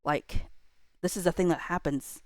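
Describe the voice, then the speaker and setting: A woman in her thirties talks in a low register, recorded dry with hardly any room sound. She chats reflectively like a streamer addressing viewers. Her delivery rambles, with hedged phrases and thoughts left trailing. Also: moderate pace, dry recording. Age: millennial, around 30s. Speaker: livestreamer. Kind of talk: casual reflective chat to viewers.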